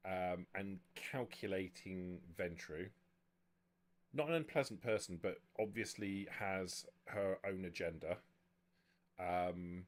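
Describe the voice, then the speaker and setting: Man, low-pitched voice, game master, measured explanatory delivery, tabletop roleplaying session.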